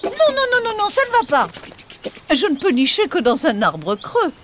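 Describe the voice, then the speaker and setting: A woman's voice: very high voice, huge pitch swings, moderate pace, noisy background, heavily acted. A woman voicing a grumpy, fussy, weary swallow in a preschool cartoon, complaining and demanding in a theatrical way. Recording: music behind the voice.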